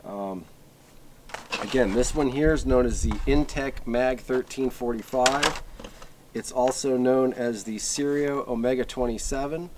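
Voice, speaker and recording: A man's voice: medium pitch, a little slow. Casual rambling man over noisy background. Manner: rambling, repeats himself for emphasis. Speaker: product reviewer. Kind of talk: casual off-the-cuff product review. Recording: clearly noisy recording with clicks.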